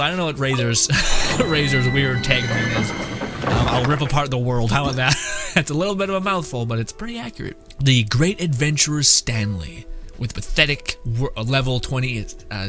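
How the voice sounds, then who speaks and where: A man's voice: medium pitch, animated, normal speed. Rambling young male gaming streamer over music. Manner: rambling, jokey, slangy. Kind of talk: casual gameplay commentary. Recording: game music behind the voice.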